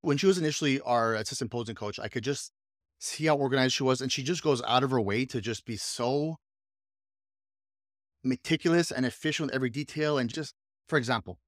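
A man's voice: mid-range voice, brisk, dry recording, clean studio sound. A man hosting a casual podcast, talking up his assistant in an enthusiastic, rambling way with frequent restarts. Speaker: podcast host, coach and business owner.

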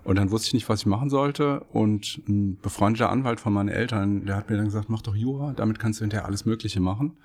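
A middle-aged man from Hesse, a law professor, talks as a podcast guest with a fairly low, unhurried voice. He tells his stories in a relaxed, chatty way and laughs easily. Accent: standard German with faint Hessian. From Hesse, Germany.

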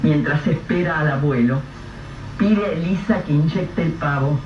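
A man narrating a radio drama in a measured, grave storytelling voice. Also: on the high side. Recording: echoey, distant, dull lo-fi with faint hiss.

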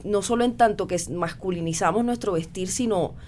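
A woman's voice: medium pitch, brisk, a little mellow, slight background noise. A woman from the coast, speaking as a guest author in an easygoing discussion, with articulate, academic phrasing. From Cartagena, Colombia. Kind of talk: conversational discussion.

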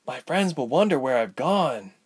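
A man's voice: medium pitch, lively intonation, normal speed, slightly rough, pitched above the performer's own voice. A man voicing an earnest, impatient young woman adventurer in a storybook, polite but urgent and full of questions. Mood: worried, weary. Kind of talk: storybook character voice.

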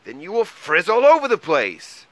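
A man's voice: mid-range voice, sing-song, moderate pace, bright, forceful tone, no room sound, voice pushed up, poor audio. A man voicing a practical, easily exasperated but kind-hearted mole in a children's story, speaking earnestly and emphatically.

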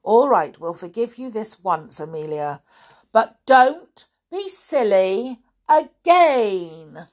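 A woman's voice: medium pitch, very animated, takes it slowly, darkish tone. A woman voicing a wronged, grumbling boy toy in a children's storybook. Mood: forgiving. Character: gloomy, wronged boy toy, forgiving later.

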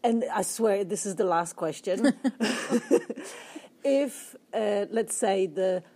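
A young woman with a mellow, warm voice hosts a relaxed podcast conversation. She asks rambling questions, apologizes for losing her thread, and giggles between lines. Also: medium pitch, takes it slowly. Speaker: podcast host. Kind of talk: casual interview chat.

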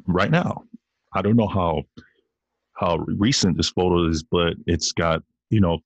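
Very deep-voiced rambling podcast host. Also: male voice, husky, no room sound, dull audio. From Samoan heritage. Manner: rambling, playful, talks to listeners as y'all. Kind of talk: casual solo podcast rant. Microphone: computer recording via video call app.

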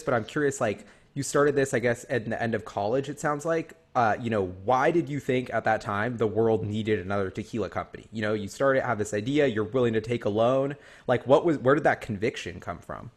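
A man's voice: mid-range voice, brisk, hardly any pauses. A man interviewing a guest, asking casual questions in a rambling way and thinking aloud while he asks.

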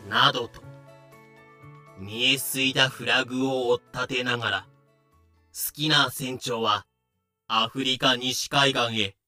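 A man's voice: medium pitch, fairly even intonation, slow pace, long pauses, bright, forceful tone, faint music behind. A man acting as the wry storyteller of a pirate history audio drama, narrating with comic irony.

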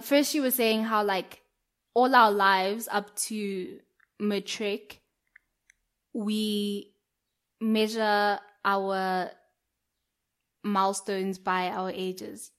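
A woman's voice: medium pitch, level tone, extremely slow, pauses often, clean tone. A young woman, chatting casually on a podcast, filling her talk with "like" and "um" and laughing often. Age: young adult. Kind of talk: casual chat.

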